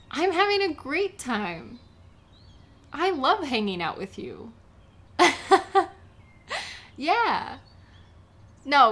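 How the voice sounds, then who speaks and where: A woman playing a supportive, affectionate best friend in a comfort audio roleplay, speaking gently and sincerely in an intimate, conversational way. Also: mid-range voice, heavily acted. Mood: amused, playful. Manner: gentle, sincere, encouraging, unhurried.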